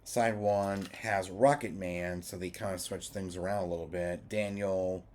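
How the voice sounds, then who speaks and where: A man giving a casual, rambling review, halting and restating his points as he goes. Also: low voice, steady tone, darkish tone. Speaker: vlogger reviewing music formats.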